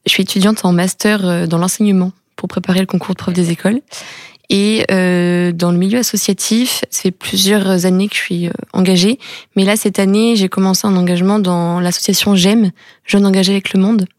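A woman's voice: mid-range voice, steady tone, fairly quick, no echo. Young woman, earnest and hesitant. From Tours. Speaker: guest, student volunteer. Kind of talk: casual chat.

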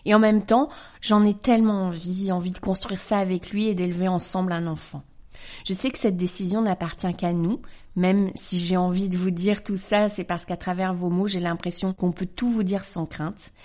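A woman in her forties hosting a podcast, reading a listener's letter aloud in a gentle, measured voice and then her own warm reply. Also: fairly low pitch, steady tone. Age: 40s. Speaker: podcast host.

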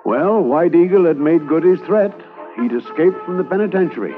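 A man narrating a radio drama in a measured storytelling manner. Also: a little high, expressive, close to an everyday voice. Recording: tinny 1950s radio transcription with brass music.

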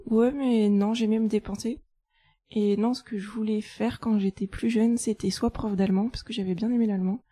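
A woman in her thirties from France, chatting about her path in a relaxed way. Her voice is very dark and mellow, and the recording is dry and close. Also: mid-range voice, normal speed, no echo. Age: 30s. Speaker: guest, chartered accountant. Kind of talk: casual interview.

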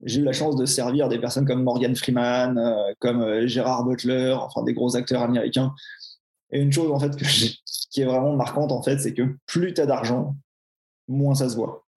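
Flat-voiced Swiss French man, echoey room. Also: medium pitch, moderate pace. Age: 40s. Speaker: personal trainer, podcast guest. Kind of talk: casual interview chat.

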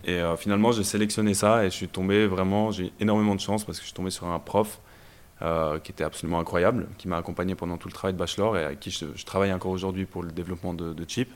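A young man from Belgium, a startup founder telling his story in a casual interview, relaxed and hesitant with many fillers. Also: low-pitched, rapid-fire. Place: startup incubator office.

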